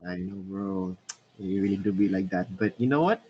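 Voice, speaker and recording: A young man hosting a casual podcast, talking in a relaxed conversational way with some fillers. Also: sits low, normal speed, slight background noise. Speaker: podcast host. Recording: dull lo-fi mic.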